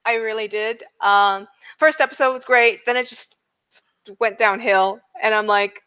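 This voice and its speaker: A woman's voice: on the high side, moderate pace, pushed and bright, clean tone, highs cut off. A woman chatting casually as a podcast panelist, explaining things in a wry, giggly way. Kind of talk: casual roundtable chat.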